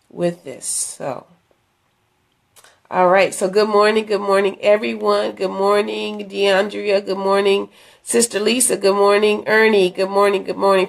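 A middle-aged woman, hoarse from a cold, chatting earnestly into a phone at arm's length in a dim room, inviting viewers to an event. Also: mid-range voice, flat delivery, a little slow. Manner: chatty, earnest, with many fillers and restarts. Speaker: ministry leader promoting an event. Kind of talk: casual live-stream invitation. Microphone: phone held at arm's length.

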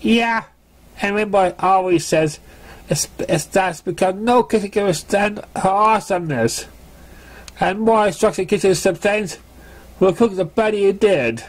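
A man's voice: high voice, unhurried, voice pushed up. A man voicing a cocky, careless young female pegasus pony in a storybook reading, brash and boastful. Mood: defensive, boastful.

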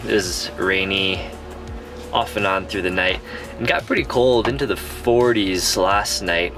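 A man in his thirties chatting casually as a camping vlogger, recorded on a camera held at arm's length. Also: lowish voice. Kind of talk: casual vlog chat to camera. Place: inside a camper van and outdoors at a forest campsite.

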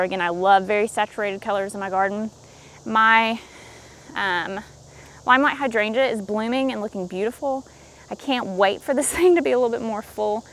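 A woman's voice: medium pitch, fairly bright tone. A woman in her thirties chats her way around her backyard garden on a casual video tour, upbeat and a little self-deprecating, with plenty of filler words. She is recorded outdoors on a handheld camera whose small mic sits close to her. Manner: chatty, upbeat, self-deprecating, lots of ums. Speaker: gardening vlogger. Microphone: handheld camera with small mic held close.

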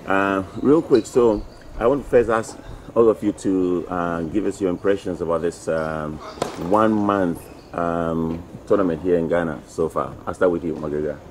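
A middle-aged man hosting a casual interview at an outdoor tennis court, talking haltingly with many fillers into a table mic. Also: sits low, a little mellow, slight background noise. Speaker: interview host, tennis federation president.

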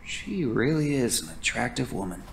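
A man's voice: mid-range voice, very animated, moderate pace, vocal fry. Actor as a flustered, nerdy young man. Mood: musing, eager. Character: flustered, nerdy young male editor living with mother. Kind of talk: audio drama, spoken recorded monologue.

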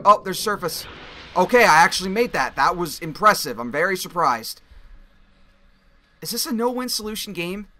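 Young guy on a headset doing game commentary, bit raspy, bright voice, gets loud and swears when he messes up. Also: fairly high pitch. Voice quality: slightly raspy. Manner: excitable, swears and yells when he fails. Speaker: gaming video creator. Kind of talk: casual let's-play commentary. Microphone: headset mic.

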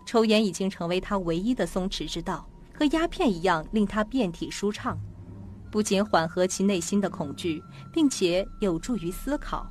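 A woman's voice: mid-range voice, lively intonation, normal speed, a little mellow, slight background noise. A woman narrator reading scripted narration for a suspense audio drama. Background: background music.

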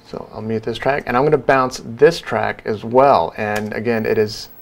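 A man in his thirties giving an informal software tutorial, casually talking through each step. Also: medium pitch, steady tone, normal speed, creaky. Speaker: sound design tutorial presenter.

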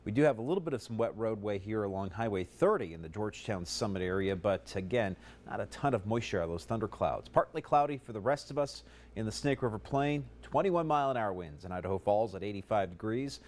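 A man in his thirties giving a live TV weather forecast in a studio, brisk and conversational, running through numbers steadily on a lapel mic. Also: on the low side, lively intonation, darkish tone. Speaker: TV meteorologist. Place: TV studio, in front of a weather map.

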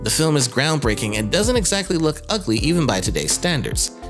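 A man's voice: fairly high pitch, big pitch swings, fairly quick. A man narrating a scripted video commentary as host, with casual, jokey asides and a wry manner. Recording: music under the voice. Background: background music.

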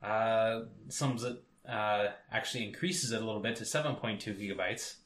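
A man's voice: fairly low pitch, normal speed, fairly bright tone, reverberant. A man co-hosting a casual tech podcast, rambling with many fillers. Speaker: podcast co-host.

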